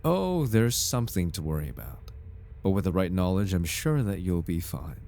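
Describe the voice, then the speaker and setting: A man's voice: low voice, big pitch swings, moderate pace, creaky, darkish tone, full character voice. A man playing a suave, over-polite corporate recruiter in an ASMR roleplay, with a formal, unctuous salesman's patter. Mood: reassuring, smooth. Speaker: voice actor in an audio roleplay. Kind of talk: ASMR roleplay, scripted in-character monologue.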